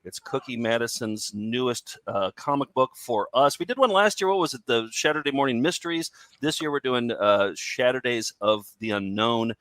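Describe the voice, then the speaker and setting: A man hosts a loose group chat with a bright, forward voice. He is excitable and jokey, speaking casually. The sound is dry and close. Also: mid-range voice, moderate pace, hardly any pauses, no room sound. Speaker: host of a cartoon comedy show.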